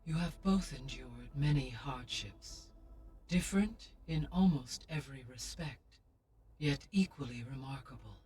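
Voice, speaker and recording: An actor voicing a calm, formal artificial intelligence in a video game, speaking in a precise, measured way. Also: male voice, mid-range voice, far from the mic, slight background noise, natural voice.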